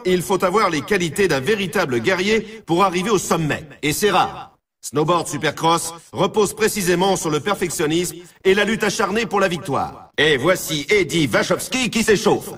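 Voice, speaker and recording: An actor playing an excitable male snowboard race announcer in a video game, dramatic and emphatic like a showman. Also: sits high, moderate pace, husky, far from the mic, exaggerated voice. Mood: solemn, impressed. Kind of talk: sports game commentary.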